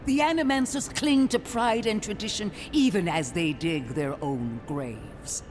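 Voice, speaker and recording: An actor playing a severe, zealous imperial inquisitor in a fantasy game, with an imperious, cutting, theatrical delivery. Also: male voice, high voice, measured pace, background noise. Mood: severe, derisive. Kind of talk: dramatic fantasy game dialogue.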